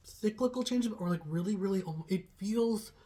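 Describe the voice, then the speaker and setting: A young woman doing a casual, chatty tarot reading, hoarse and raspy from a cold, rambling with lots of fillers. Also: medium pitch, moderate pace, mellow. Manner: chatty, rambling, heavy use of "like". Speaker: tarot reader.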